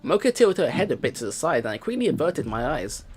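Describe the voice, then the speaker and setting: A man's voice: fairly high pitch, big pitch swings, fast. A man hosting a let's play, reading narration aloud and adding casual commentary, with music behind.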